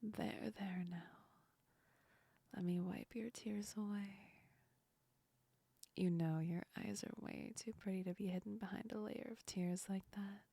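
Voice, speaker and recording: A woman playing a teasing yet comforting female sleep demon in a whispered ASMR roleplay, breathy and soft, speaking close to the ear. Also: medium pitch, steady tone, a little slow, pauses often, dry recording. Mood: gentle, soothing. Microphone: very close ASMR microphone.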